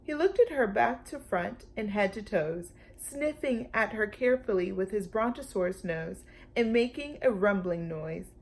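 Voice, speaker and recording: A woman reading a children's storybook aloud as a storytime teacher, expressive and speaking directly to young listeners. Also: mid-range voice, moderate pace, rough recording.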